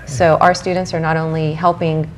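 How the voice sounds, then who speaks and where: A woman's voice: low voice, level tone, normal speed. A woman in her forties, a program director from Mexico, answering interview questions earnestly and enthusiastically. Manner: earnest, enthusiastic, with filler ums. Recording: faint background noise.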